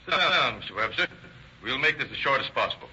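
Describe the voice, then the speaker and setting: A man's voice: mid-range voice, sing-song, fast, bright, forceful tone, slightly rough, full character voice. A man playing a blunt, direct police lieutenant in a radio crime drama, clipped and skeptical. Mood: businesslike. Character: brisk, blunt male police lieutenant pressing for answers. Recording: dull, noisy old radio recording.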